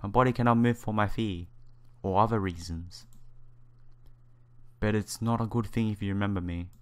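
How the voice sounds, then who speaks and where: Low-voiced man reading a visual novel. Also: steady tone, darkish tone, clean tone. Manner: halting read-aloud, stumbling over words. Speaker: let's player. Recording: game music behind the voice. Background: visual novel background music.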